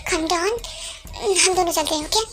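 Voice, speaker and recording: A woman with an Indian accent playing a protective young husband who earnestly pleads for calm, in an amateur audio drama. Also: extremely high pitch, a little slow, music in the background. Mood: anxious soothing. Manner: placating, earnest.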